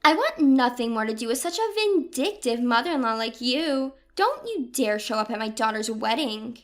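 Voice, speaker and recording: A woman's voice: on the high side, sing-song, moderate pace, soft background music. A woman voicing a proud, doting grandmother in her seventies in an audio story, earnest and direct. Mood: indignant. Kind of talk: acted dialogue in an audio story.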